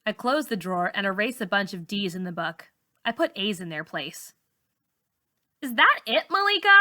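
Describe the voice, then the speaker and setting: A woman narrates a first-person story like a teacher reading to a class. Her voice is mid-pitched and bright, with a forceful edge and expressive delivery. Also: a little fast. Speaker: teacher reading a novel aloud.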